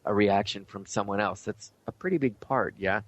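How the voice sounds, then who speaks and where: A man's voice: lowish voice, normal speed, a bit bright. A man hosting a conversational spiritual talk show, speaking in an informal, earnest and reflective way. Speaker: radio show host. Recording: dull lo-fi audio.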